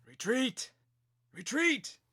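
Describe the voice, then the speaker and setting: A man playing a shaken, desperate toy soldier in a dramatic reading, halting and pleading. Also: very high-pitched, very animated, pushed and bright, no echo, full character voice. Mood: panicked shouting.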